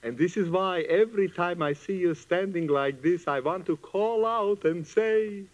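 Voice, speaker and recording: Sardonic musician professor, vintage radio comedy, higher male voice, teasing and falsely sincere. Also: unhurried, highs cut off. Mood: mock romantic. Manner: mock-sincere, dry needling. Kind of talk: old-time radio comedy acting.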